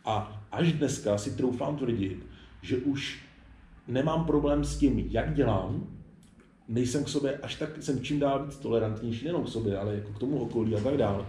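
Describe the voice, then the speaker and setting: A man's voice: medium pitch, mellow, slight background noise. A man in his forties talking casually and candidly as an interview guest, rambling informally. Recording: echoey room.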